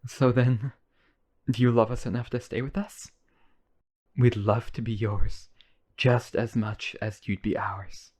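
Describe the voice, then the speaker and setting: A man's voice: mid-range voice, flat delivery, measured pace, a little mellow, close to an everyday voice. A man playing an obsessively devoted, centuries-old vampire brother in a boyfriend roleplay, earnest and pleading. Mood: hopeful.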